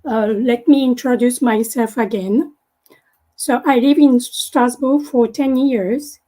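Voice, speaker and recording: A woman from Japan with a Japanese accent, a native Japanese speaker, giving a halting presentation over a video call with many fillers and restarts. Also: a little high, steady tone, warm tone, poor audio. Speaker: guest presenter.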